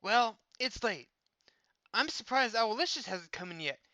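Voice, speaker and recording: A woman's voice: on the high side, expressive, unhurried, bright, forceful tone, dry recording. A woman voicing a cheeky, loyal young male sidekick in a dramatized story, wry, casual and easily exasperated.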